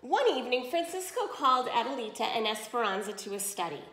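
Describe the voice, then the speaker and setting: A woman's voice: fairly high pitch, very animated, fast talker, a bit bright. Woman reading to children, roomy echo. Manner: explanatory, checks understanding with questions. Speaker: read-aloud storyteller, teacher. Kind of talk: reading a picture book aloud to children with teaching asides.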